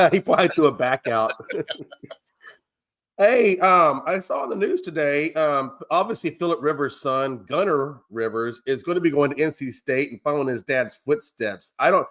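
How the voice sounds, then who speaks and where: Man, sports panelist, slightly high pitch, rambling, filler-heavy, casual video call chat. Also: lively intonation, normal speed, a bit bright. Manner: rambling, heavy on "I mean" and "you know".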